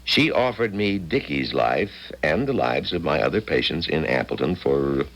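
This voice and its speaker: An actor in a 1970s radio drama playing a weary, honest older country doctor, plain-spoken and resigned. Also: male voice, very deep voice, lively intonation, moderate pace, a bit bright, full character voice, rough recording. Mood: resigned, reflective.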